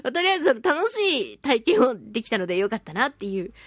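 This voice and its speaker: A woman voice actress hosting a chatty solo radio show, slightly nasal from a mild head cold, with an animated, playful and self-deprecating manner. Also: on the high side, fast talker, no room sound, clean studio sound. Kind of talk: chatty solo radio talk with listener mail.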